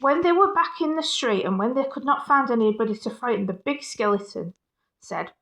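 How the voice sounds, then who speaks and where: High-voiced woman reading a picture book playfully. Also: lively intonation, a little fast, fairly bright tone. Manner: playful, self-interrupting, apologetic. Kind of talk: animated read-aloud with casual asides.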